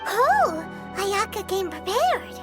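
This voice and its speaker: A woman voicing a tiny, chirpy, curious fairy companion with a childlike squeaky voice in a video game. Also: sing-song, slow, full character voice. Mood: curious, perky. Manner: perky, exclamatory. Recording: loud game music behind. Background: loud tinkling playful game music.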